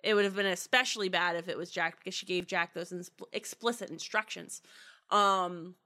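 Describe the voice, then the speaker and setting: Woman, Pittsburgh accent, bright tone, casual self-deprecating podcast chatter. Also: on the low side, normal speed. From US Northeast-Midwest border. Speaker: podcast cast member. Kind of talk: casual chat. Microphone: home podcast mic.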